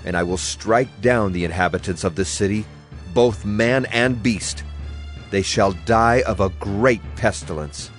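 A man's voice: lowish voice, measured pace, close to an everyday voice. Man acting a stern, wrathful prophet over music. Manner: solemn, declamatory. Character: stern male Hebrew prophet pronouncing God's wrathful judgment. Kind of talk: dramatized scripture reading.

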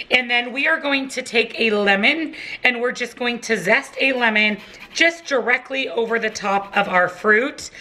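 A woman's voice: medium pitch, sharp tone. A woman in her thirties giving a chatty, upbeat step-by-step cooking voice-over from her home kitchen. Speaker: cooking vlogger.

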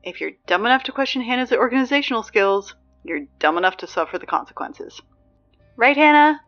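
A woman playing a sarcastic, exasperated high school announcer in a scripted audio drama, dry and emphatic in delivery. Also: expressive, fairly quick, fairly bright tone, highs cut off, faint music behind. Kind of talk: scripted fiction podcast, PA announcements.

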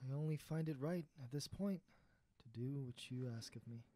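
A young adult actor playing an earnest, heartbroken character with halting speech in an audio drama. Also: medium pitch, dark tone, dry recording, close to an everyday voice. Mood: resigned. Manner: hesitant, earnest, halting confession. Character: heartbroken, lovesick young adult secretly turning into a beast.

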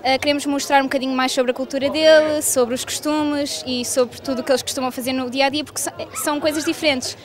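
A woman's voice: high voice, steady tone, quick pace, crowd noise. A teenage girl with a European Portuguese accent, hesitantly presenting her school's project into a handheld mic at a fair. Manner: hesitant, with fillers. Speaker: student. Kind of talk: interview answer. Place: outdoor fair stand. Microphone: handheld reporter mic.

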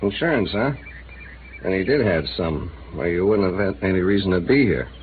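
A man's voice: deep voice, normal speed, natural voice. An actor playing a flippant, streetwise male reporter with a drinking habit in a 1950s radio drama. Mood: cagey. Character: cynical hard-drinking male newspaper reporter. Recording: tinny old radio broadcast with hiss.

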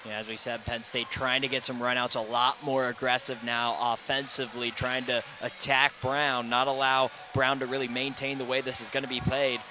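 A man giving relaxed, analytical hockey color commentary from an arena booth on a headset mic, often opening with "yeah". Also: medium pitch, normal speed, few pauses, over music.

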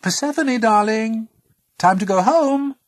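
An anguished storybook mother goddess, acted out by a man, with a voice lifted high. She is loud and emphatic, imploring with great passion. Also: measured pace, dry recording, clean studio sound. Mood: calling. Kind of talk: storybook character voice.

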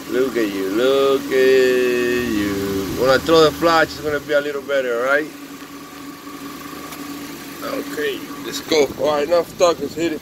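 A man with a Spanish accent, a native Spanish speaker, chatting casually on a phone camera in his workshop as he shows a broken chainsaw to the customers of his parts store. He talks to the machine as if it were a pet. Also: a little high. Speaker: eBay parts seller. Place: cluttered workshop indoors. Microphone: phone camera held close. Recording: phone recording with clear engine noise. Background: engine and machine noise.

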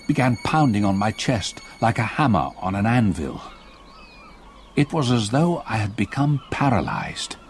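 An old English gentleman haunted by his past, narrating a radio ghost story. His low voice moves widely in pitch as he tells it gravely and with measure. Faint ambient noise sits behind him. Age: older. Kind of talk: dramatic radio play narration.

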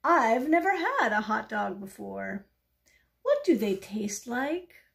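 A small, inquisitive duckling from a children's storybook, voiced by a woman. The little pest sounds high and lilting, questioning with mock innocence and a very lively melody. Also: slow, creaky, full character voice. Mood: curious. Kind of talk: children's picture-book character voice.

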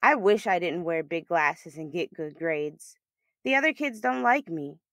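A woman's voice: on the low side, expressive, unhurried, dry recording, studio quality. A woman voicing a shy, earnest third-grade boy with big dreams in a storybook. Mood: wistful.

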